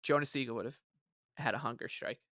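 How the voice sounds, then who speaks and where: A man's voice: mid-range voice, fast, fairly bright tone, dry recording, clean studio sound. A man co-hosting a podcast in casual sports talk, speaking in an exasperated, emphatic, sarcastic way. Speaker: podcast co-host.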